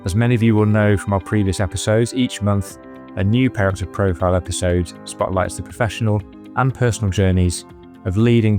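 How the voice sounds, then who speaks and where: A man hosting a medical podcast, introducing his guest and asking brisk, friendly questions. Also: lowish voice, darkish tone. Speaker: podcast host. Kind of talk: podcast interview.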